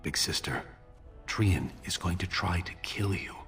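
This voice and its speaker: An actor playing a scheming younger dwarf prince in a fantasy video game, measured, persuasive and conspiratorial. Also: male voice, deep voice, a bit bright, faint music behind. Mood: grave warning.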